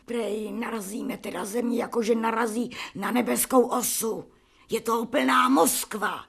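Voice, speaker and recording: An actress playing an excitable, superstitious servant woman in a radio drama, chattering colloquially. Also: fairly high pitch, gravelly. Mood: excited.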